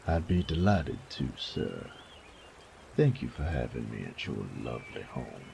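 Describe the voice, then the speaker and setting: A man's voice: a bass voice, very animated, measured pace, creaky, darkish tone, slight background noise, voice pushed down, heavily acted. A man playing a cocky young commoner turned knight in an audio drama, speaking in a dry, casual, unpolished way. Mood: dry politeness. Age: young adult. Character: low-voiced young rogue commoner, newly made knight, cocky. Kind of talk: audio roleplay drama acting.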